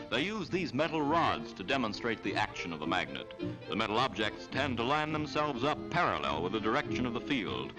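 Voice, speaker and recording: A man's voice: medium pitch, poor audio. An American man reading scripted narration in the style of a 1940s newsreel. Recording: vintage 1940s newsreel soundtrack with music. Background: background music.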